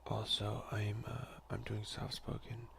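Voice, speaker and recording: A man speaking softly, at times in a breathy half-whisper, in a casual, hesitant ASMR let's play on a close headset mic. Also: fairly low pitch, completely flat delivery, unhurried, very noisy. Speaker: gaming ASMR video creator. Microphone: close headset boom mic.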